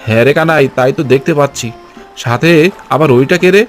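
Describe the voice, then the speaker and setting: A man's voice: medium pitch, fast talker, faint music behind, natural voice. A man voicing a greedy, bossy village moneylender in a cartoon, scheming and scolding. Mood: grim. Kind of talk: Bengali cartoon voice acting.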